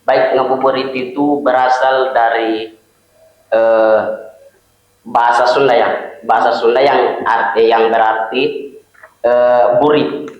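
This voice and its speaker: A young man from Southeast Maluku explaining slowly in a casual chat, pausing with "eh". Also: medium pitch, flat delivery. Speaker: guest. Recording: echoey room, dull sound.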